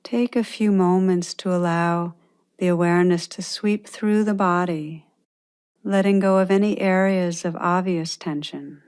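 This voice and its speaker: A woman meditation teacher leading a guided meditation with gentle, unhurried instructions and pauses. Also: fairly low pitch, fairly even intonation, clean tone.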